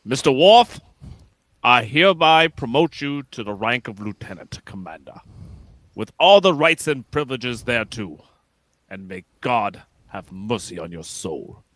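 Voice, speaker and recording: A man's voice: medium pitch, sing-song, measured pace, fairly bright tone, no room sound. A man acting a mock-solemn, ceremonious starship captain in an amateur sci-fi script read, stately and theatrical.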